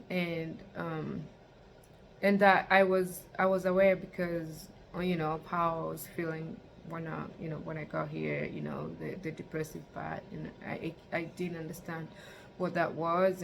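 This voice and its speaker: Young woman from kenya rambling to her vlog camera, lowish voice, bit of hiss behind. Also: creaky. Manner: rambling, many fillers. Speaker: vlogger. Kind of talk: casual talk to camera.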